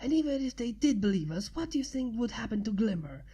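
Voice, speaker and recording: A woman's voice: a little high, sing-song, fast talker, dark tone, breathy edge. A woman voicing a stressed, urgent woman writer with a secret in a story reading. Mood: urgent hissing. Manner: urgent, hissing and pleading.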